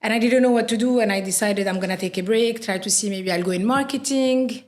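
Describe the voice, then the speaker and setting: A woman from Lebanon, a podcast guest telling animated stories and laughing easily. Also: medium pitch, fast talker. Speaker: guest, radio sales professional. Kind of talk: podcast conversation.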